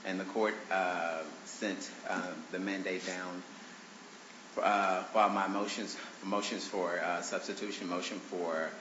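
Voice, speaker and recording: A man arguing his own motions before a judge in a courtroom, speaking haltingly with many fillers and restarts, his voice congested from allergies. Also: sits low, level tone. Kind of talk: courtroom argument. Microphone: courtroom microphone on a stand. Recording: roomy, dull courtroom recording with faint hiss.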